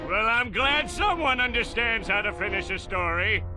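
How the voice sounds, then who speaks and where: A man's voice: high-pitched, expressive, moderate pace, over music. A man voicing a small, indignant, bombastic villain in a cartoon game, theatrical and exasperated. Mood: huffy.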